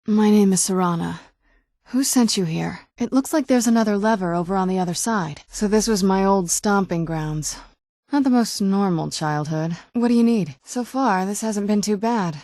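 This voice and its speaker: A woman's voice: mid-range voice, moderate pace, dark tone, no echo, close to an everyday voice. A woman playing a guarded, wry young-looking vampire noblewoman in a video game, dry and understated. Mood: guarded, direct. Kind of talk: video game character dialogue.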